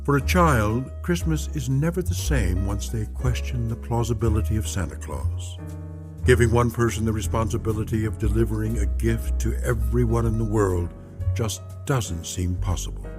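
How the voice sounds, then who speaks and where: An actor playing a solemn narrator of strange tales, speaking in a measured, portentous way. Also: male voice, on the low side, close to an everyday voice. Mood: reflective. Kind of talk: dramatic scripted anthology narration. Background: music.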